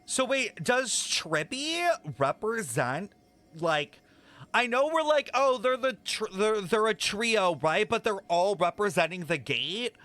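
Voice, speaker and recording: Bright-voiced young streamer musing aloud. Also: male voice, high-pitched, slow. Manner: thinking out loud, excited speculation, frequent swearing. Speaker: streamer reacting to a game. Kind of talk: casual reaction commentary.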